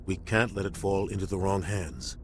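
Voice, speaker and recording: An actor playing a commanding middle-aged warship captain in a sci-fi game, giving clipped military orders with grave authority. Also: male voice, sits low, moderate pace, a little hiss or hum, natural voice. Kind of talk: cinematic sci-fi game dialogue.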